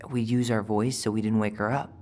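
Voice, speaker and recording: A man's voice: on the low side, little pitch movement, a little fast, a little mellow, slight background noise, close to an everyday voice. An actor playing an easygoing, sensitive young American man in a video game, speaking casually and a little wistfully. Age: young adult. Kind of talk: naturalistic video game dialogue.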